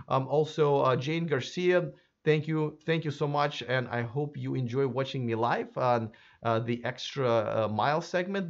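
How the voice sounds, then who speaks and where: A hoarse man hosting his own channel, chatting casually and speculating aloud, with many fillers and restarts. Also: fairly high pitch, highs cut off. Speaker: YouTube electric car channel host. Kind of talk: casual solo commentary to camera.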